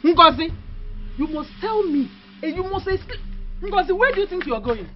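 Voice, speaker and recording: Woman playing indignant confrontational wife, very high voice, lively pitch, dull lo-fi sound. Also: normal speed. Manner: shouting, repeating a name insistently. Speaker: wife and mother. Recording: dull lo-fi recording with faint music.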